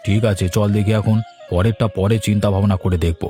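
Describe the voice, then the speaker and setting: Man playing a fast-talking, practical village brother. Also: on the low side, flat delivery, warm tone, faint music behind, lightly acted. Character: hardworking village brother in fish business, brisk, practical. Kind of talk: cartoon character dialogue.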